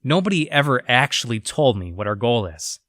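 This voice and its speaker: A man playing a troubled, guilt-ridden researcher narrating his journal in an audio drama, somber, confessional and halting. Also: mid-range voice, sing-song, a bit bright, no echo, clean studio sound. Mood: somber, uneasy. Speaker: voice actor.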